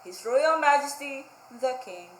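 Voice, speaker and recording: Woman as storybook king, pompous, declamatory, high voice, slow royal proclamations, echoey room. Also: animated, background noise. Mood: grand, declaring. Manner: pompous, declamatory, old-fashioned royal phrasing.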